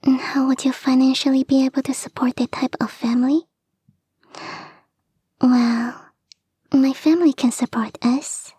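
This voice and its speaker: A woman voicing a clingy young kitsune fox girl in an intimate ASMR roleplay, soft and breathy, often whispering, coaxing and sweetly insistent, close to the microphone. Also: sits high, steady tone, a little slow, long pauses, no echo, exaggerated voice. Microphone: close, dry microphone.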